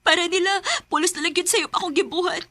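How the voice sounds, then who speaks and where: A woman's voice: very high voice, steady tone, quick pace, sharp tone, no echo. An actress playing a hurt, frustrated young daughter who stands up to her parents in a radio drama. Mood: tearful, weary. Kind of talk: Philippine radio drama acting.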